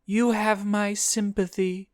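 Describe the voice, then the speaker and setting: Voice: medium pitch, sing-song, unhurried, no room sound, studio quality, pitched above the performer's own voice. A narrator voicing a naive, chatty adventurer who is guileless, blunt and full of questions. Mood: sympathetic.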